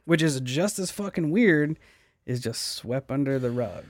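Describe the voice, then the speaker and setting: A laid-back man hosting a casual podcast who swears freely and sometimes trips over hard names as he tells a mystery story. His voice sits at a middle pitch and is recorded close on a dry mic. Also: lively intonation, measured pace, dry recording. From United States. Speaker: podcast host.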